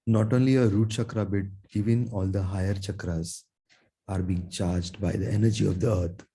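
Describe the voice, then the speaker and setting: A man leading a guided meditation in a soothing, gentle manner, leaving long pauses between his phrases. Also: lowish voice, steady tone, breathy edge. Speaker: meditation guide, Reiki teacher.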